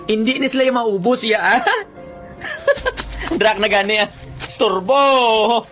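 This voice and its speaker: A man's voice: sits high, sing-song, slow, fairly bright tone, soft background music, exaggerated voice. A man acting a brash, easily awestruck young bird trapper in a radio drama, theatrical, with a Western Visayan Filipino accent. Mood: defiant, scornful. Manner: theatrical, stammering when startled. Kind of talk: radio drama acting with first-person narration.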